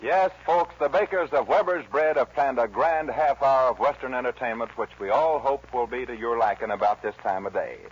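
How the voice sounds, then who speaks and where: A man's voice: mid-range voice, a little fast, few pauses, muffled recording. A man hosting a 1940s radio show, announcing from a script on a vintage recording with western music behind him.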